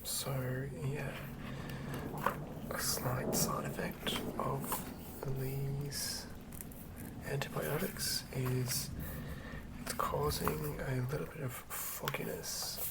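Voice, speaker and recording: An ASMR host speaking in a soft, breathy whisper, gently and quietly sharing tabletop fantasy material. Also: medium pitch, flat delivery, extremely slow, pauses often, rough recording. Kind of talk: whispered ASMR, chatting and reading aloud from a game manual.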